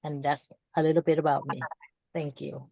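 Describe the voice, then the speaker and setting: An older retired woman from Thailand with a Thai accent, a native Thai speaker, introducing herself on a panel in a halting way with restarts. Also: deep voice, flat delivery, gravelly, mellow, no echo. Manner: halting, restarts before settling.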